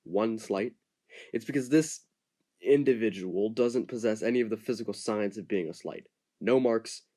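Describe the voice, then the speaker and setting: A man's voice: lowish voice, moderate pace, warm tone, natural voice. An actor playing a wary male ship captain in an amateur audio drama, firm and questioning. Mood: explaining. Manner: firm, interrogating.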